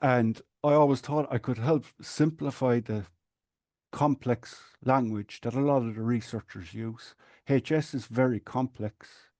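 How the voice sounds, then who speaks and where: An Irish man talks in a measured, explaining way, often restarting a phrase. His voice is mellow at a middle pitch. The sound is dry and close. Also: fairly even intonation, dry recording. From Ireland. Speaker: guest, support group administrator. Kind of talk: earnest conversational interview.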